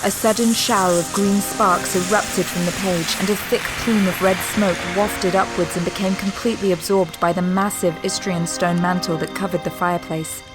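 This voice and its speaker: A woman's voice: fairly low pitch, fairly even intonation, moderate pace, hardly any pauses, poor audio. A woman reading scripted third-person narration for a sci-fi audio drama. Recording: music behind the voice. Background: music.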